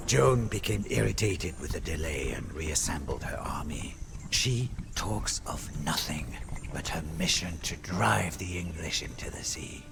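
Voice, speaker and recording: A man playing a weary, devoted medieval French soldier who narrates game cutscenes in a grave, theatrical, measured way, with a gravelly, breathy voice. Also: low voice, exaggerated voice. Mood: grave, resolute. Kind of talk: dramatic scripted storytelling for game cutscenes. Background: music.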